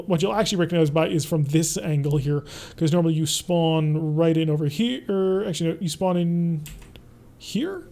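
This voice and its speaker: A man's voice: on the high side, mellow. A young man streaming a mech strategy game, chatting casually and answering his live chat as he plays. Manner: chatty, reads and answers chat while playing. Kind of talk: casual gameplay commentary.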